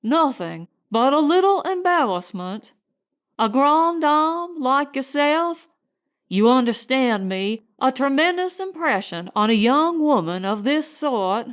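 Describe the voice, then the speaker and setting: A woman narrator voicing a flattering, soothing male servant in a storybook, with an obsequious, placating manner. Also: high-pitched, slow, pitched above the performer's own voice, full character voice. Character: smooth-talking, flattering male servant, soothing his mistress.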